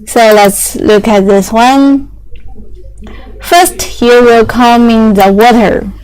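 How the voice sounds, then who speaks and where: A young woman whose first language is Chinese, explaining factory machinery in halting English with many fillers and restarts. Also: a little high, a little mellow, smooth, low-quality recording.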